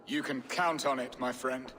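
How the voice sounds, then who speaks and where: An actor playing a suave, soothing medieval nobleman in a video game, speaking deliberately and theatrically. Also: medium pitch, steady tone, bright, forceful tone, long reverb tail, slight background noise, close to an everyday voice. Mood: grave, soothing. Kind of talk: video game dramatic acting.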